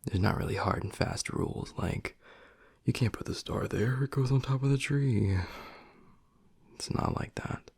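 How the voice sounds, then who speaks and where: A man voicing a gruff but tender young werewolf boyfriend in an intimate audio roleplay, husky and breathy, speaking low and haltingly. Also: darkish tone, no room sound. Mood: reassuring. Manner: low, halting, half-whispered delivery.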